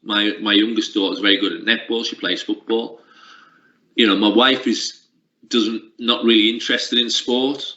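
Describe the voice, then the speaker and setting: Bright-voiced middle-aged lecturer. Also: male voice, on the low side, steady tone, normal speed. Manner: reflective, uses many restarts and fillers. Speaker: sports coaching lecturer. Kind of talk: relaxed interview answers. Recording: lo-fi roomy recording.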